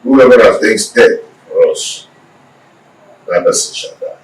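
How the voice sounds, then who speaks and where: Solemn elder, ceremonial drama speech, creaky, swooping intonation, echoey and distant. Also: male voice, lowish voice, animated, a little slow. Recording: echoey, distant, faint background noise.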